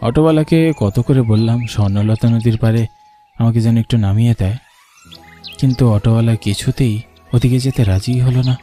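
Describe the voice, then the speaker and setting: A man's voice: medium pitch, a little fast, dark tone, faint music behind, natural voice. A man playing an earnest young man who narrates a cartoon ghost story in the first person. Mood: wondering, uneasy. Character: earnest young man narrating his eerie village journey.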